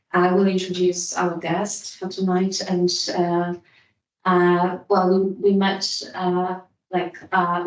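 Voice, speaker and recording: A woman hosting an academic lecture, giving an informal introduction in a halting way and often repeating words. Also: on the low side, darkish tone. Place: room at a hybrid academic event. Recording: echoey room, distant microphone.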